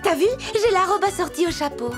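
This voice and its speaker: A woman voicing a sociable, bossy, childlike animal friend in a French children's cartoon, with animated, expressive delivery. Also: very high-pitched, a little fast. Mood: vain, excited. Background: children's jingly cartoon music.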